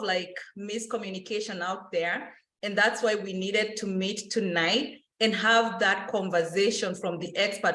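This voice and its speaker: An energetic woman talks in an animated way, rushing through lists and using plenty of fillers. She is presenting as a financial educator. Her voice sits mid-pitch and carries a roomy echo. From Kenya. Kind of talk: casual enthusiastic presentation.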